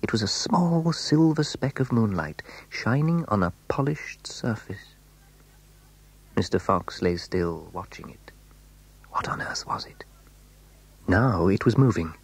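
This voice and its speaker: A man tells a dramatic children's story in a fairly low, very husky voice. His delivery is hushed and suspenseful, with lively swings in intonation. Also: unhurried, pauses often, rough recording. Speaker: narrator.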